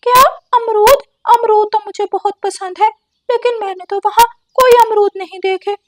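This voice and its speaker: A woman acts out a trusting male parrot for a children's cartoon. His voice is extremely high, and he speaks with naive, excitable emphasis. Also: moderate pace. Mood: eager. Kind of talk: Hindi children's cartoon dialogue.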